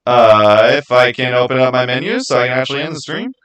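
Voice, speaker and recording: A man chatting casually to viewers as a livestream host, earnest and rambling, with halting starts and repeated phrases. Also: medium pitch, lively intonation, fairly bright tone. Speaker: streamer, channel host.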